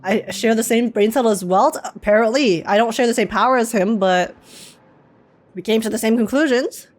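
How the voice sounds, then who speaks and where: A young woman giving casual, animated, slangy commentary as she reacts to a game, repeating herself for emphasis. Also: on the high side, normal speed. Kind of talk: casual excited live commentary. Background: game music.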